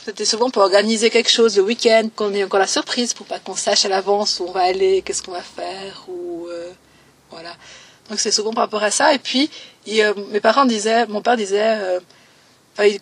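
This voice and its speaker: A woman's voice: mid-range voice, steady tone, a little fast, breathy edge, recorded from a distance. A woman, giving a personal testimony in a hesitant way, with many fillers and phrases that trail off. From Valais, Switzerland. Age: 20s. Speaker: interviewee.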